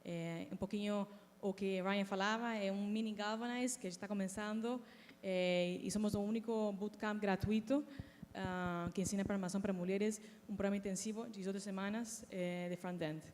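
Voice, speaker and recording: Woman from Peru, founder on panel, mid pitch, quick with fillers, echoing hall. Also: steady tone, a little mellow. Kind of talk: self-introduction on a panel. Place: conference stage in a large hall. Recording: long hall reverb.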